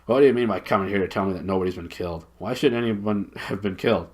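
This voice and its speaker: A man voicing a deaf, irritable old male dwarf lord who talks gruffly and loudly. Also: fairly low pitch, fast talker. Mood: cranky.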